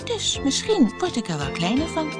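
A woman's voice: high voice, wildly swooping pitch, normal speed, over music, pitched above the performer's own voice. A woman voicing a spirited, bewildered little girl in a children's fairy tale, earnest and exclamatory. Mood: pondering. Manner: earnest, exclamatory, thinking aloud.

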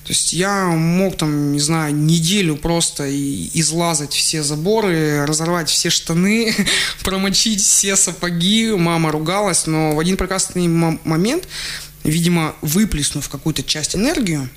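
A man, a guest on a radio show, telling childhood stories in a relaxed way, laughing often and restarting his sentences. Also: a little high, measured pace. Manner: chatty, laughs often, many fillers and restarts. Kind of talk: relaxed radio interview storytelling. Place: radio studio. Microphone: studio microphone.

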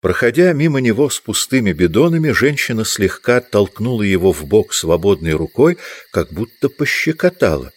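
A man's voice: lowish voice, big pitch swings, moderate pace, husky, dry recording. A man reading scripted literary narration as the narrator of an audiobook.

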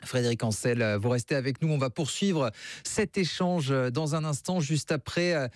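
A man hosting a live radio news interview, measured as he sets up questions for a guest. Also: medium pitch, no room sound, clean studio sound.

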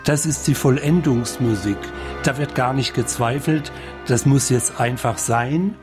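A man giving unscripted, reflective commentary on a film, halting and thinking aloud in fragments. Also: mid-range voice. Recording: clear music behind the voice.

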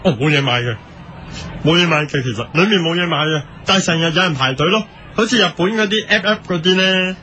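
Man playing a chatty high-pitched shop staffer, Hong Kong accent. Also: fast, pushed and bright, slight background noise. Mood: matter-of-fact. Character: chatty deadpan shop staffer managing a queue. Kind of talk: comedic radio drama dialogue.

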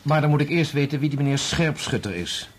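An actor playing an indignant young conductor in a radio play, speaking in an insistent, combative, clipped way. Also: male voice, fairly high pitch, lively intonation, brisk, a little hiss or hum, lightly acted. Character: indignant young conductor defending his dead father's name. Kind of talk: Dutch radio play acting.

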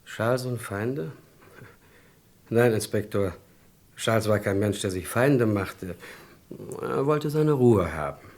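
An actor playing a hesitant yet gossipy witness in a radio crime drama, halting as he searches for words. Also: on the low side, a little mellow. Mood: explaining.

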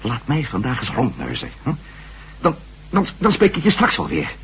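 A lively, wide-ranging voice for a persistent, doubting former astronaut turned reporter. He argues and sometimes stumbles over his words, in radio drama style. The recording has faint hum and noise. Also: mid-range voice, moderate pace. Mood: skeptical, insistent. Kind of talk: Dutch radio drama acting.

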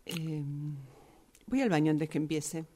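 A woman improvising a radio drama part as a reserved, guarded woman who speaks haltingly and repeats words. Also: sits low, warm tone, slight background noise. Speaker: radio drama workshop participant.

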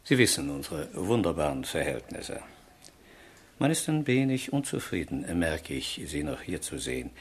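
A courtly count in a literary radio play. His voice is extremely deep with lively swings in pitch. He is formal, measured and discreet. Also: husky, exaggerated voice. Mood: discreet, awkward. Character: courtly, discreet aristocratic count of high rank. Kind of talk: German literary radio drama.

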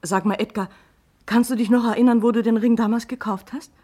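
A woman's voice: a little high, steady tone, fairly quick, warm tone, slightly rough. An actress playing a well-off lady of the house, composed and decisive with a fretful edge, in a German radio crime play. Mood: puzzled, thoughtful.

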